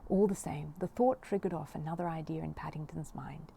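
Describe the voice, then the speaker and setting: Female children's story narrator, soft warm tone, expressive scripted read, slight background noise. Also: low voice, brisk, creaky. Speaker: storybook reader.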